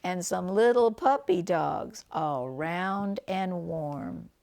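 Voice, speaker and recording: Older woman reading aloud, slow and lively. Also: lowish voice, gravelly. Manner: slow, deliberate phrasing that points out pictures to a child. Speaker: grandmother reading a picture book to children. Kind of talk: read-aloud storytelling with chatty asides and animal sounds.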